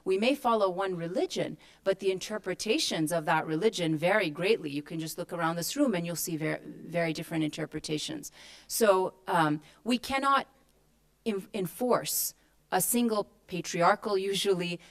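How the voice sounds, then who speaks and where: A woman's voice: lowish voice, moderate pace. A woman from Kuwait speaking as a panelist at a forum, answering a question in an earnest, explanatory way and posing rhetorical questions. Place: conference hall.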